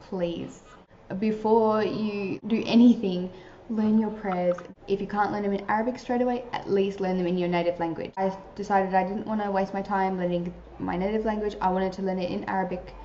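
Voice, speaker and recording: Earnest young woman, mellow and roomy. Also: mid-range voice, fairly even intonation, normal speed, slight background noise. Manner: earnest, chatty, many filler likes. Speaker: vlogger giving advice to new converts. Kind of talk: casual advice talk to camera. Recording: roomy, slightly dull sound.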